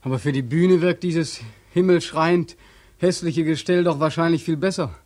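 An actor playing a bitter, haggard young war veteran in a sardonic, impassioned way, in 1940s German radio drama style. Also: male voice, a little high, fairly even intonation, normal speed, darkish tone, close to an everyday voice. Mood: bitter irony. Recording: vintage 1940s radio recording.

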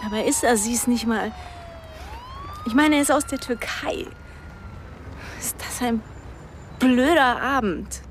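A woman's voice: a little high, slow pace, pauses often, a little hiss or hum. A woman playing a direct, concerned teenage girl in naturalistic radio drama dialogue. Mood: uneasy.

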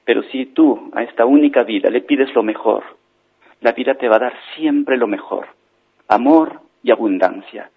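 A man voicing a gentle, wise father teaching his small son in an oral tale, measured and solemn. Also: medium pitch, breathy edge. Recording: dull band-limited radio phone line.

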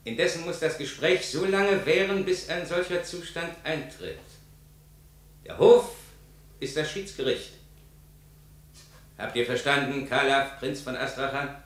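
An actor in a 1950s German radio drama playing a stern, formal court official with ceremonial, declamatory delivery. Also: male voice, fairly high pitch, a little slow, pauses often, a bit bright. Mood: solemn. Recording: very echoey large hall.

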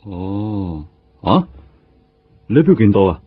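Low, world-weary Hong Kong detective. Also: male voice, sing-song, a little slow, slightly rough, heavily acted. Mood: questioning. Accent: Hong Kong Cantonese. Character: world-weary male veteran police detective, resigned and defensive. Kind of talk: Cantonese radio drama acting, colloquial. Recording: muffled radio drama recording. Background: music.

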